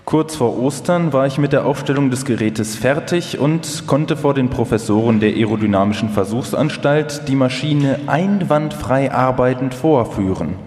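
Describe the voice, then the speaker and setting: A man playing a sober, reflective engineer who recalls his wartime work in a measured way in a documentary radio play. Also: mid-range voice, darkish tone, close to an everyday voice. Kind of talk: live documentary radio play, first-person recollection. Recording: echoey hall, live performance.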